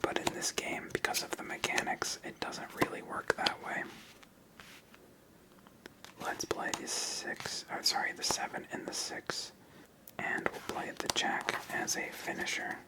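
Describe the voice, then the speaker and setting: A person whispering gentle step-by-step ASMR commentary while playing a solitaire card game as host. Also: a little slow, poor audio.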